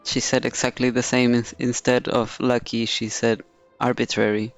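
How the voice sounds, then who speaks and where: A man's voice: medium pitch, flat delivery, normal speed, faint music behind. A man streaming a game, reading dialogue aloud and adding casual commentary.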